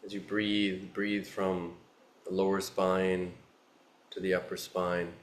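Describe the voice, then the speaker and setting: A man leading a guided meditation as a teacher, speaking in a soothing, unhurried manner with long pauses. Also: sits low, level tone. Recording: roomy, echoing space.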